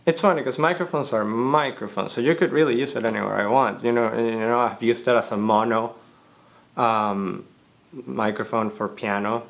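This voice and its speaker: A young man, a studio engineer with a deep bass-heavy voice, explaining gear in a loose, conversational way with many fillers. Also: steady tone, normal speed, gravelly, fairly bright tone. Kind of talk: gear tutorial. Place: recording studio.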